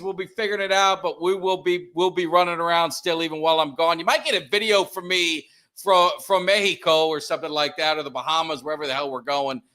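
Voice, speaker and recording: A man's voice: a little high, sharp tone. A middle-aged man hosting a casual live stream, upbeat and keen to plug his channel. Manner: upbeat, plugs the channel often. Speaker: podcast host.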